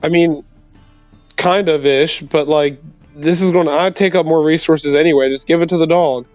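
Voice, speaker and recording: Male tabletop player, smooth slightly high voice, informal rambling delivery, dry close sound over faint music. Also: fairly even intonation, moderate pace, darkish tone, dry recording. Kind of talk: casual in-character roleplay.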